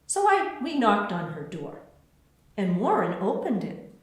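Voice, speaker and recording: Slow, expressive woman storyteller in echoey room. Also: mid-range voice. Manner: expressive, deliberate storytelling with spaced phrases. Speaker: author reading her own book. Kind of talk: children's picture book read-aloud.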